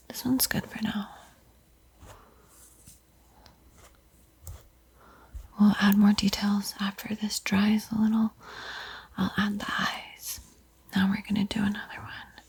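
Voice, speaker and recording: A woman playing a gentle vampire art teacher in a whispered ASMR painting roleplay, soothing and unhurried, lingering over words. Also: mid-range voice, full character voice, rough recording. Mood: calm, encouraging. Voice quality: breathy, mostly unvoiced whisper.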